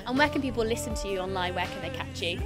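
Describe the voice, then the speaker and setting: A woman's voice: mid-range voice, animated, very fast, over loud music. A woman in her twenties interviewing casually and enthusiastically as a festival presenter on a handheld microphone. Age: 20s. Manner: enthusiastic, encouraging. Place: music festival, indoor venue and city streets.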